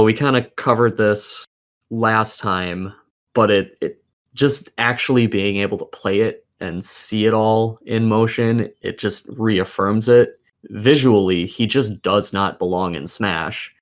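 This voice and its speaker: A man co-hosting a casual video game discussion, giving opinions with plenty of swearing. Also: fairly low pitch. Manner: profane, opinionated. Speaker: podcast co-host.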